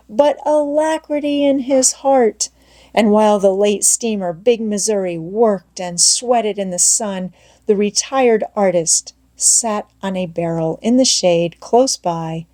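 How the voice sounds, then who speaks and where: Female audiobook narrator, unhurried and deliberate, mid-range pitch, expressive storytelling read.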